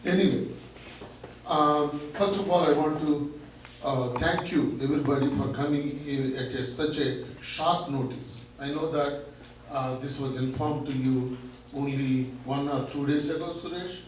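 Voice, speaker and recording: A man's voice: on the high side, steady tone, a little slow. A man lectures in a big, teacherly voice, asking questions and then answering them himself. He sounds far from the mic in a very echoey room with faint noise behind him. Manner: loud, teacherly, explains with rhetorical questions. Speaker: guest speaker, learning center founder. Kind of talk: public talk to an audience. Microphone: microphone at a distance.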